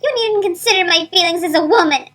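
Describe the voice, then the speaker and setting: Woman doing whiny offended lady computer, super high n sharp, drama queen, cartoon parody. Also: racing. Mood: aggrieved. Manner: whiny, melodramatic. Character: touchy, aggrieved female computer with feelings. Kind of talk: abridged cartoon parody dub.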